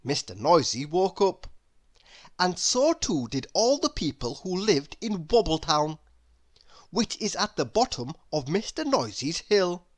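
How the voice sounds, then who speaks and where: A storybook narrator reading a children's tale aloud in an animated, expressive way. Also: medium pitch, unhurried. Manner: animated, expressive, with emphatic sound words. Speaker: storybook reader.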